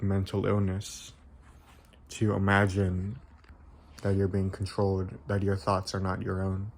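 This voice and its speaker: A young man giving an informal explanatory video essay, with halting, tentative phrasing and frequent self-corrections. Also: sits low, a little mellow. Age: young adult.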